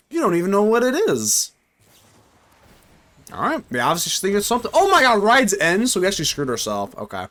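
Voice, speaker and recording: A young man giving casual live commentary on a card game, joking and talking to himself and his chat. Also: high voice. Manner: animated, talks to himself and chat, jokey. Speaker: gaming streamer.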